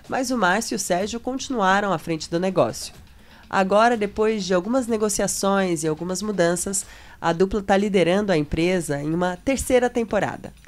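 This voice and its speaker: A woman hosting a business podcast, reading a clear, measured scripted intro and then asking interview questions. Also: medium pitch.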